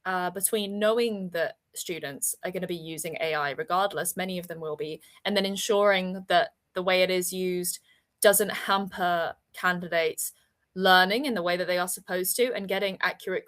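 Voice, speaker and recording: A woman's voice: fairly low pitch, fairly bright tone. A woman hosting a webinar over a video call, talking in a chatty, enthusiastic way and often laughing. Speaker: webinar host. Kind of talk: casual webinar chat.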